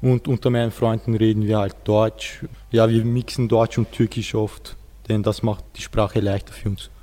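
Low dark monotone teen boy, echoey room. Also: normal speed. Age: teenager. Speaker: student.

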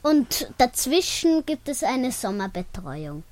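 A woman's voice: fairly high pitch, sing-song, slow, darkish tone. A female speaker, informally explaining how a programme works in an interview.

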